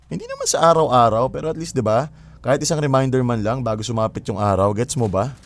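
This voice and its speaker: A man's voice: medium pitch, sing-song, fast, a little hiss or hum. A man with a Filipino accent playing a distracted, evasive young gamer boyfriend in casual radio drama dialogue, defensive and full of excuses. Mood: defensive, sheepish. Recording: faint background ambience.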